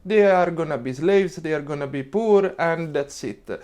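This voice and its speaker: Man, young adult, Italian accent, casual vlog explainer, sarcastic asides, somewhat high voice. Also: normal speed, a little mellow. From Italy. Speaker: vlogger.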